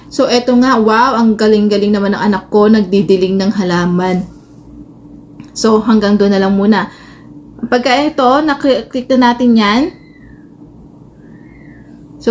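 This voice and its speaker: A Filipino woman giving a casual step-by-step tutorial, explaining informally with some hesitation. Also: on the high side. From Philippines. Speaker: tutorial host, vlogger. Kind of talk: casual spoken phone tutorial. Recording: noisy amateur phone recording.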